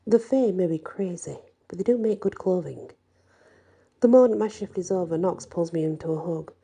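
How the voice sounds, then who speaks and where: A woman's voice: on the low side, lively intonation, normal speed, gravelly, warm tone, slightly rough. A woman reading a scripted fantasy story as an ASMR narration.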